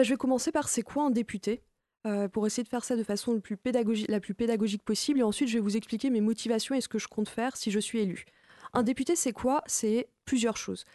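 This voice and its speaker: A woman answers as a political guest in an interview. Her voice is soft-edged and relaxed, a little high. She explains in an orderly, teaching manner, in a dry, close recording. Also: no echo. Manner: didactic, structured, enumerating points.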